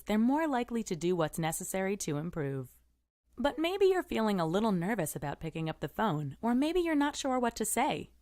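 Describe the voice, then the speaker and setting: Woman narrating instructional tips with lively intonation. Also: mid-range voice, dry recording, studio quality. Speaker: podcast presenter giving tips to teachers.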